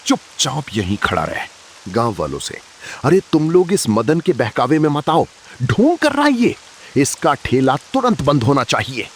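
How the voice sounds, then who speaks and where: An actor playing a greedy, jealous, bullying eatery owner in a village cartoon story, accusing and blustering. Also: male voice, medium pitch, very animated, moderate pace, a little hiss or hum, lightly acted. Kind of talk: dramatic Hindi moral-story cartoon acting.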